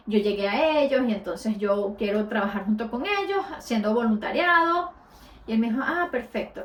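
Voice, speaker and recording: A woman's voice: fairly high pitch, reverberant. Latin American woman telling her story. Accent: Latin American Spanish. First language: Spanish. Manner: conversational, with frequent hesitations. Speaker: podcast host. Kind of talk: personal storytelling.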